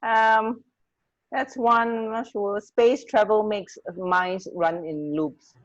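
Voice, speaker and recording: A woman's voice: medium pitch, fairly even intonation, takes it slowly. A woman co-hosting a radio show in a casual, informal chat style, with slightly muffled audio. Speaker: radio co-host.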